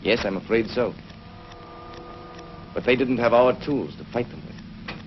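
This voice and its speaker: An actor playing an earnest, learned scientist from the future in 1950s television science fiction, speaking in a deliberate, explanatory, professorial way. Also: male voice, mid-range voice, natural voice. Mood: thoughtful, earnest. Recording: noisy, dull 1950s television soundtrack.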